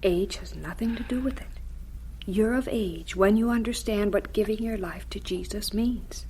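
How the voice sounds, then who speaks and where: A woman's voice: mid-range voice, mellow, slight background noise, natural voice. An actress playing a stern but caring, devout mother in a radio drama, speaking firmly and earnestly. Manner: firm, earnest, deliberate.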